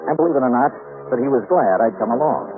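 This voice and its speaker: A man's voice: mid-range voice, expressive, fast talker, music in the background, close to an everyday voice. A man playing a cocky, sarcastic American insurance investigator in a 1950s radio drama. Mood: narrating. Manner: sarcastic, glib. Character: cocky wisecracking insurance investigator, sardonic and brisk. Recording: noisy tinny old radio recording.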